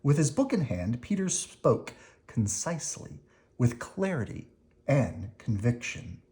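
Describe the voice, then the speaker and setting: A person reading a children's picture book aloud, expressively and deliberately, with dramatic emphasis and short explanations for young listeners. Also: medium pitch, big pitch swings, warm tone. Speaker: storybook reader explaining words to children.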